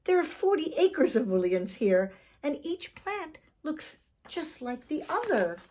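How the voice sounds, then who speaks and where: Woman playing a cocky young man, very animated. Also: high voice, a little slow, pitched above the performer's own voice. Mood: bold, urgent. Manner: bold, boastful, demanding. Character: cocky, greedy young Irish man seeking treasure.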